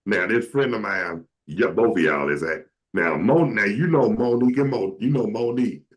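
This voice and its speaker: A man, hosting a casual chat, joking and telling stories. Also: moderate pace. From Atlanta, Georgia. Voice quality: very deep voice. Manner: joking, slips into comic impressions. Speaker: show host.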